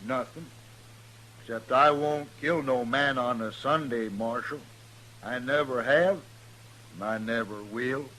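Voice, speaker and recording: A man's voice: mid-range voice, pushed and bright. An actor playing a stubborn, menacing backwoods man with a rustic Ozark accent in a radio Western. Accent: Southern US mountain, Ozark. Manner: blunt, slow-witted, rustic dialect. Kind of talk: old-time radio Western acting. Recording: noisy old radio recording.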